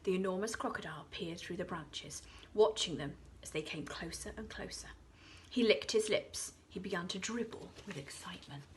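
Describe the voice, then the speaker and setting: An English woman, an infant school teacher, reading a children's story aloud, dropping into hushed whispers for suspense. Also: fairly low pitch, moderate pace, a little hiss or hum.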